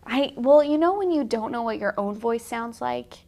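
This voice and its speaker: A woman's voice: fairly high pitch, expressive, moderate pace, darkish tone. A woman with a slight cold hosting a live painting tutorial, chatty and laughing often, with frequent fillers. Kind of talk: casual live-stream tutorial talk. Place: art studio.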